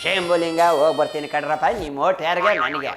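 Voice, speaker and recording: Guy with rustic karnataka kannada accent doing comedy news, pitched kinda high, folksy, loud noise all over it. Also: steady tone, a little fast. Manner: folksy and chatty, addressing viewers with rhetorical questions. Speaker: host of a satirical political news segment. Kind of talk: comic news monologue in colloquial speech.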